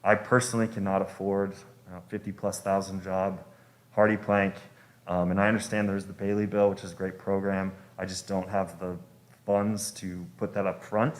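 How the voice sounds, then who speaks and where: A man's voice: low-pitched, level tone, moderate pace. A young man giving informal testimony as a homeowner at a public hearing, speaking politely and deferentially with some hesitation. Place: public meeting room.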